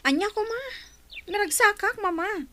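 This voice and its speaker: A young expectant mother in an Ilocano radio drama. Her voice is very high, and she is devoted and stubborn about the man she loves. A faint noise sits behind her. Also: normal speed, sharp tone. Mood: happy, insistent. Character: pregnant young woman, devoted and stubborn in love.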